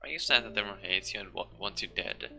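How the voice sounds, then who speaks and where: A man voicing a cruel, swaggering boy bully in a storybook reading, with loud, sneering taunts. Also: on the low side, quick pace, creaky, soft background music, heavily acted. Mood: taunting.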